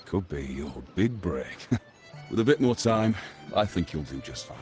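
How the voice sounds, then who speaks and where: An actor playing a plain-spoken senior crime-family agent in a video game, with a dismissive, patronizing manner. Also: male voice, sits low, animated, measured pace. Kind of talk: scripted video game dialogue. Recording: loud music behind.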